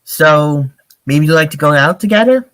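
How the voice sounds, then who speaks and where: Man acting smitten male curator for storybook: earnest, slightly halting, dry sound. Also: fairly high pitch, lively intonation, fairly bright tone, no room sound. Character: earnest, admiring young male museum curator in love.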